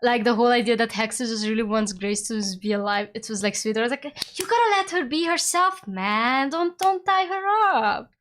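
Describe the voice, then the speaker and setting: A woman's voice: a little high, a little hiss or hum. Giggly young woman streamer. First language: Hungarian. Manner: giggly, rambling, talks in quick excited bursts. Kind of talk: casual chat with viewers during a game stream.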